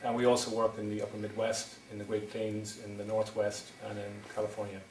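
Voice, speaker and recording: A man's voice: fairly low pitch, completely flat delivery, brisk, a little mellow, slight background noise. A middle-aged man with an Irish accent from County Wexford, giving a hesitant public presentation at a town meeting, full of ums and restarts. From Ireland. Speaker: wind energy developer presenting at a town meeting. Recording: echoey hall recorded from far off.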